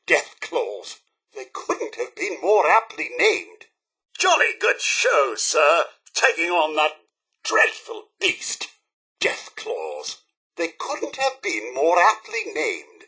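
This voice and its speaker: A prim British robot butler for a video game. The voice sits high and swoops dramatically up and down, with a bright, forceful edge. The manner is courteous, fussy and theatrical. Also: male voice, huge pitch swings, takes it slowly, husky, full character voice. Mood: impressed. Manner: proper, deferential, theatrical. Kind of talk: scripted video game companion lines.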